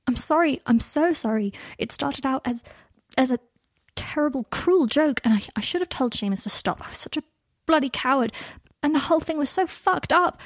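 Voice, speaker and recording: A woman's voice: high-pitched, expressive, normal speed, no echo, voice pushed up. A woman voicing a remorseful, desperate young man who apologizes earnestly and stumbles over his words.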